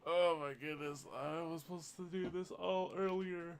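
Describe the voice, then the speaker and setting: A man's voice: a little high, steady tone, moderate pace, clean tone. A man live-streaming a game, reacting and chatting casually in slangy, sweary, exasperated gripes into a close mic.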